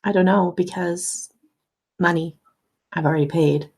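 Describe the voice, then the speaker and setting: A woman in a casual conversation, speaking gently and supportively and asking questions. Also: fairly low pitch, fairly even intonation, a little slow, husky. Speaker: friend and co-guest.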